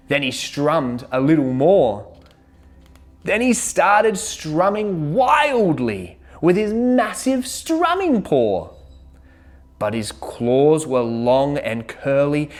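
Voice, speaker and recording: A woman hosting a children's read-aloud, telling a rhyming picture book in an expressive, dramatic way. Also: low-pitched, slow. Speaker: children's story reader and host.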